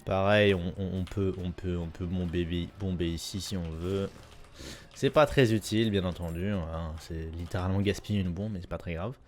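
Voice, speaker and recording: A man giving relaxed, rambling gameplay commentary with lots of fillers and repeated phrases. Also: deep voice, moderate pace. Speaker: gaming YouTuber. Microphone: desk mic at a gaming setup.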